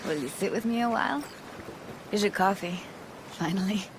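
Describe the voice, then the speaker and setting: A woman's voice: mid-range voice, moderate pace, background noise, close to an everyday voice. A woman playing a guarded but tender young techie in a video game, talking in an intimate, hesitant, slangy way. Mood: earnest, probing. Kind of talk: naturalistic video game acting.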